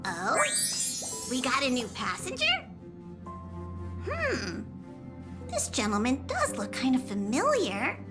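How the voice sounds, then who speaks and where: A squeaky little creature conductor. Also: wildly swooping pitch, slow pace, pauses often, exaggerated voice. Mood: curious. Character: small fussy creature train conductor. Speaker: train conductor. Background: game soundtrack music.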